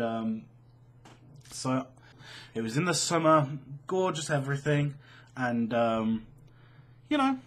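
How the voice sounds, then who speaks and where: A young British man tells a story in a casual, chatty way. His pitch leaps around a lot and his voice has a slightly husky grain. He swears freely and pokes fun at himself as he goes. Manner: animated, sweary, self-mocking. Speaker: online storyteller.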